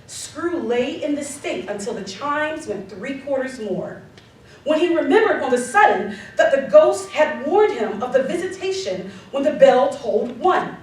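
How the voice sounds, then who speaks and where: A woman narrating a classic story for a live stage radio drama, theatrical and emphatic, stressing words deliberately. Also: sits high, lively intonation, darkish tone. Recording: distant mic, very echoey live hall, slight noise.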